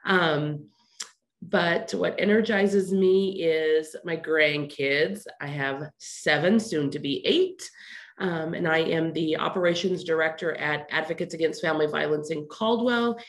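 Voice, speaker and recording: A woman's voice: low voice, a little slow. A woman in her fifties with a stuffy, congested voice, introducing herself on a video call from an office. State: stuffy from a sinus infection. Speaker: panelist.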